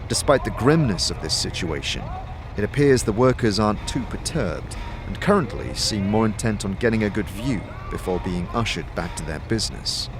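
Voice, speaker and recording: A man's voice: fairly low pitch, animated, normal speed. A man narrating a fantasy audio drama in a scripted, storytelling style. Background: music.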